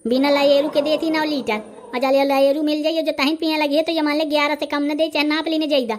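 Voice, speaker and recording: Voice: sits high, flat delivery, a little fast, lightly acted. An actor with a Bundelkhandi accent voicing a brash, argumentative village man haggling in a comedy cartoon. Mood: brash, impatient.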